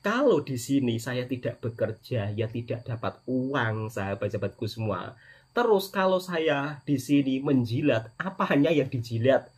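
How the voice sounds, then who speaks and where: A man's voice: mid-range voice, expressive, normal speed, husky. A middle-aged man with an Indonesian accent talking casually to his viewers in a personal vlog, repeating words for emphasis and often addressing the audience directly. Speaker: vlogger, channel host, migrant worker.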